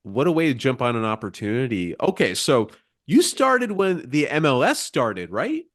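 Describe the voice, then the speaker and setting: A man's voice: medium pitch, sing-song, moderate pace. A man hosting a podcast, asking questions in a casual, curious, informal way. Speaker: podcast host, interviewer. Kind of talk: casual conversational interviewing.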